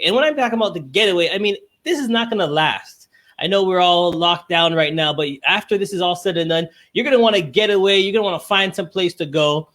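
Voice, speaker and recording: A man hosting a morning podcast, greeting listeners with energy and then interviewing a guest in casual chat. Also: high voice. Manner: energetic, hyped-up welcome. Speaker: podcast host.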